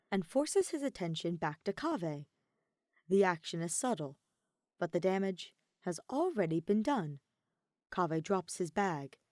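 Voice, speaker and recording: A woman narrates fiction with expression. Her voice sits a little low for a woman and moves with lively intonation. The recording is very dry. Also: no room sound. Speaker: podfic narrator.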